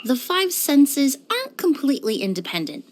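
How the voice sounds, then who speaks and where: A woman playing a composed, courteous young woman perfumer in a video game, speaking in a polite, measured, softly formal way. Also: sits high, big pitch swings, slight background noise. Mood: courteous, inquisitive. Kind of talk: scripted video game character lines.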